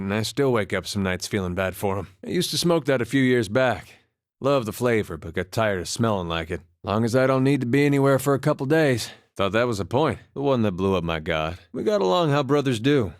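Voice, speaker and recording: An actor playing a wry, easygoing farmer and war veteran with a folksy, understated way of talking, in a video game. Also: male voice, medium pitch, expressive, fairly quick, dry recording, studio quality, lightly acted. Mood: wry, reflective. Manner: folksy, understated, dryly humorous, drops words. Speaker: video game companion character. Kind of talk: video game voice acting, conversational dialogue.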